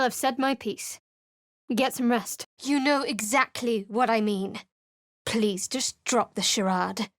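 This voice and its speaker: Woman playing a wary sarcastic cleric from a fantasy game, slightly high voice, super dry close studio sound. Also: dry recording, lightly acted. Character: guarded, sardonic young woman cleric adventurer. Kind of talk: video game voice acting.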